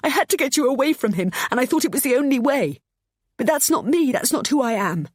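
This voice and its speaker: A woman's voice: medium pitch, moderate pace, breathy edge, no room sound, studio quality, pitched above the performer's own voice, natural voice. A woman voicing a desperate older mother who sobs and pleads as her voice breaks. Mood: sobbing. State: sobbing.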